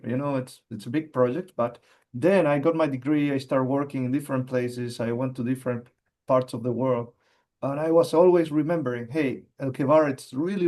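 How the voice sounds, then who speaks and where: A man with an Argentine Spanish accent, a geologist answering interview questions in English, halting with frequent fillers and repeated words. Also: on the high side, mellow. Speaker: mining company executive, geologist.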